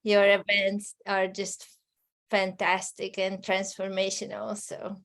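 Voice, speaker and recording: Hungarian-accented woman, soft and hesitant. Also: medium pitch, monotone, slow pace. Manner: soft-spoken, hesitant with many restarts. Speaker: interview guest. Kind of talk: casual conversation.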